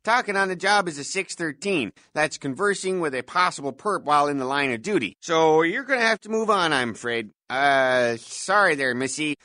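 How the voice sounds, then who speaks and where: An actor playing a self-important, bumbling, folksy night-shift security guard in a video game, with officious, hesitant patter. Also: male voice, a little high, animated, moderate pace, few pauses, bright, forceful tone, dry recording. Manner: pompous, officious, hesitant filler-laden patter. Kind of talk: scripted video game voice lines.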